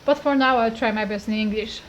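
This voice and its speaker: Polish girl rambling about her card game, kinda high voice, bit of hiss in the background. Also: a little fast, rough recording. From Poland. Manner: chatty, rambling, full of fillers. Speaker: gaming YouTuber. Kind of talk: casual chat to camera.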